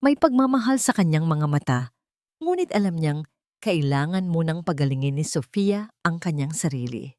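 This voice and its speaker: A woman's voice: low-pitched, sing-song, moderate pace, a little mellow, no echo, clean studio sound. A woman narrating a dramatic story from a script.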